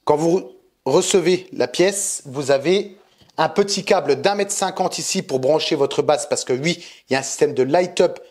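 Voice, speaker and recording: A man's voice: on the high side, moderate pace, darkish tone. A man with a French accent co-hosting a casual collectibles unboxing, explaining details and teasing his partner. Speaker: co-host of a collector channel.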